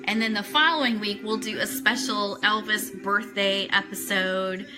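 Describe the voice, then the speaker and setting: A woman's voice: mid-range voice, steady tone, normal speed, poor audio. A woman chatting casually and enthusiastically as host of a fan talk show livestream, greeting viewers as she goes. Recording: music playing in the background. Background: Christmas music.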